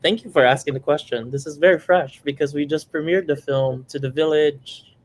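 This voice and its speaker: A man's voice: on the high side, fairly quick, a little hiss or hum. A young man from the Philippines, a filmmaker speaking reflectively as an interview guest, with frequent restarts. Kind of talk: casual interview.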